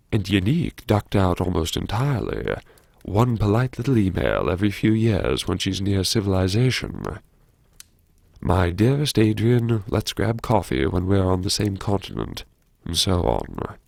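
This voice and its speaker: Gravelly, low, brooding man acting a wry academic. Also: no room sound, studio quality, heavily acted. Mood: bitter, regretful. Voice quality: gravelly, creaky. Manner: sardonic, musing, self-reproachful, with sighs and pauses. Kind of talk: first-person audiobook monologue, intimate dramatic narration.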